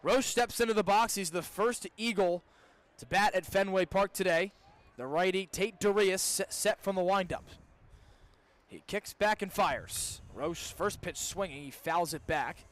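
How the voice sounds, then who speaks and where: High-pitched young man calling baseball. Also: a little slow. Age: young adult. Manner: crisp, descriptive calls that swell into excitement on big plays. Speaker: student baseball play-by-play broadcaster. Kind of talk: live radio sports commentary. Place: broadcast position at an outdoor ballpark.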